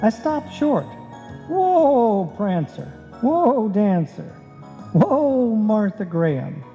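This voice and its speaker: An actor playing a wry, deadpan husband who narrates his own domestic comedy in old-time radio style. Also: high-pitched, drawn-out words, soft background music, full character voice. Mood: wry narration. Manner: dry, wisecracking. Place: on stage in a live performance. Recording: live hall recording, long reverb.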